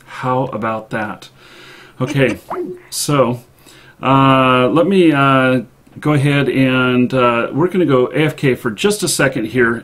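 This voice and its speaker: A man hosting a live stream in an unscripted, chatty way, speaking haltingly with long pauses. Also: mid-range voice, fairly bright tone. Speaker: live show host.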